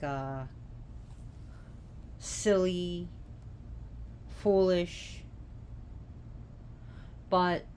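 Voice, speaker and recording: Slow-talking woman, halting, noisy recording. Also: fairly low pitch, darkish tone. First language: not Gaelic. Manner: halting, searching for words. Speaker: presenter explaining names of a Celtic deity. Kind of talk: informal unscripted lecture. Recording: clear background noise.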